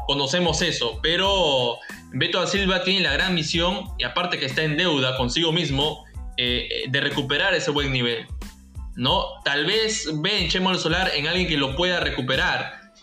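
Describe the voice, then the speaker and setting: A man's voice: a little high, bright, forceful tone. A man from Peru hosting a sports talk show, giving firm, opinionated commentary on football. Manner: opinionated, emphatic, uses Peruvian sports slang. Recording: echoey room with faint music.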